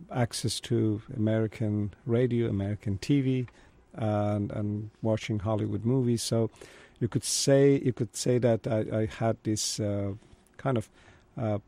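A middle-aged man from Iran, a native Kurdish speaker, telling his life story as an interview guest in a thoughtful, halting way. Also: mid-range voice, gravelly, mellow, no room sound. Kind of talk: conversational interview.

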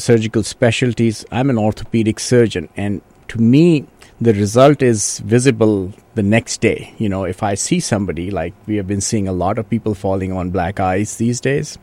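A man from Pakistan whose first language is Urdu, speaking in a fairly low voice. He answers interview questions earnestly and thoughtfully, circling back and restarting his sentences as he goes. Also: normal speed, warm tone. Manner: earnest, thoughtful, with frequent restarts and "you know". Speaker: guest, orthopedic surgeon.

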